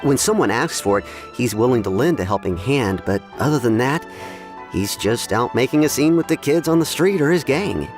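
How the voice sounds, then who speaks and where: A man's voice: medium pitch, animated, normal speed, over music, lightly acted. A man playing an easygoing townsman in a video game, sharing gossip in a conversational, hedging manner. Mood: thoughtful. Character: easygoing, watchful townsman passing on gossip, measured.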